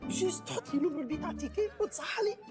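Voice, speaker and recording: A man's voice: extremely high pitch, rapid-fire, over music. A man voicing an obliging, slick hotel waiter in a Tunisian cartoon comedy, polite and full of French courtesies. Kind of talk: animated sitcom dialogue.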